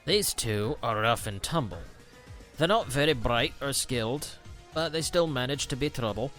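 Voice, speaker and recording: A man playing an excitable, gullible prison warden in a comic dub, earnest in his way of talking. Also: medium pitch, normal speed, soft background music, natural voice. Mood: grave, explanatory.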